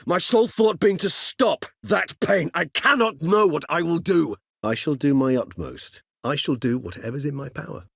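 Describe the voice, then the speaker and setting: An actor playing a courteous, erudite middle-aged vampire in a video game, with a husky low voice and formal, eloquent, measured phrasing. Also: male voice, expressive, dry recording, studio quality, full character voice. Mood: pained, earnest. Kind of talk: video game character dialogue.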